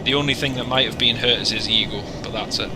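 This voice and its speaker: A man's voice: medium pitch, steady tone, quick pace. Chatty man over engine noise. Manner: chatty, joking, rambling asides. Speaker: flight sim streamer. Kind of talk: casual livestream chat. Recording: noisy, with engine hum.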